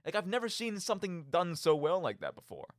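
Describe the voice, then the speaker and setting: Guy hosting a gaming radio show, kinda high voice, super lively and theatrical, talks right at you. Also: a little fast, no room sound, studio quality. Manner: theatrical, rhetorical questions, addresses listeners directly. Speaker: podcast host. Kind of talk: radio monologue, commentary on video games.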